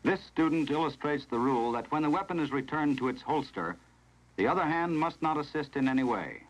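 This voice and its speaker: An American man reading scripted narration in the formal, measured, instructional manner of a 1970s training film, on a vintage film soundtrack. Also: on the high side, animated, low-quality recording.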